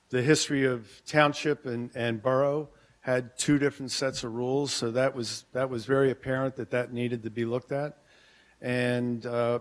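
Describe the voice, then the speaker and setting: Male business owner, public comment; plainspoken, halting, cautious, podium mic in council chamber. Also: medium pitch. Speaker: merchants association president speaking at public comment.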